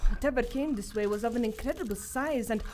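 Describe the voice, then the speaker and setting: A brave, wary young Indian adventuress, played by a woman in a radio adventure. Her voice is high and earnest, with formal, dramatic phrasing. Some noise sits behind the live recording. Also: fast, darkish tone, natural voice. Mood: wary, intent. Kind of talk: old-time adventure radio acting.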